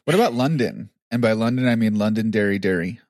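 A man's voice: fairly low pitch, animated, fast talker, darkish tone, dry recording, clean studio sound. A man co-hosting a podcast, joking around and laughing often in casual chat. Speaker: podcast co-host and producer.

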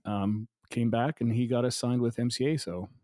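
A man's voice: mid-range voice, fairly even intonation, normal speed, dark tone, no echo. Chatty middle-aged Canadian man, dry sound. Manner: chatty, laughs often, repeats words. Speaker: interview guest, keyboardist. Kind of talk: casual conversation.

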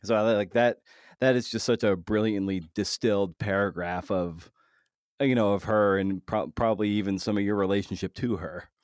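A man hosting a podcast in a conversational interview style, often halting and repeating words as he thinks his way through. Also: fairly low pitch, steady tone, clean tone, no echo, clean studio sound. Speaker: podcast host.

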